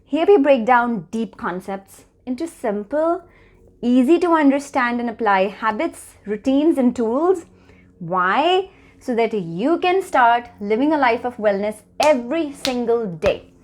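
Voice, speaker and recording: A woman's voice: fairly high pitch, expressive. A woman in her thirties from India with an Indian accent, hosting her own channel and talking earnestly and reflectively to the camera. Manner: earnest, measured, slows to stress key phrases. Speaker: channel host. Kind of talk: reflective personal talk to camera.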